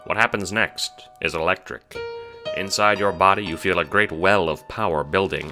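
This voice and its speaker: Low-pitched man narrating over music. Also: rough recording. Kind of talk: scripted second-person audio drama narration. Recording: music under the voice.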